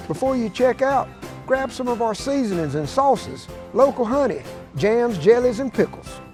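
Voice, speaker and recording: A man reading a scripted local store ad in a folksy way, listing product after product. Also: sits high. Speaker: radio-style ad announcer.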